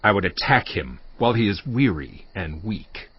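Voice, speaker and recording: An actor playing a cold, scheming royal adviser urging ruthless action in a dramatized audio Bible. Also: male voice, fairly low pitch, sing-song, normal speed, husky, dry recording. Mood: resolute, ruthless. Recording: dull audio over music bed.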